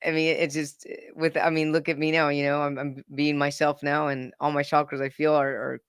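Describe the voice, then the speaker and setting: A man's voice: on the high side, little pitch movement, brisk, a bit bright, clear, resonant tone, dry recording, studio quality. A man in his forties telling his own story as a podcast guest, earnest and often repeating words as he starts a sentence. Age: 40s. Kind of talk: casual personal storytelling.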